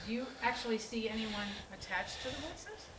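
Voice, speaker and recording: A woman's voice: a little high, lively intonation, fairly quick, background noise, lightly acted. A woman in a staged play reading, playing a concerned, persistent sister who questions her family insistently and with exasperation. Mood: worried, probing.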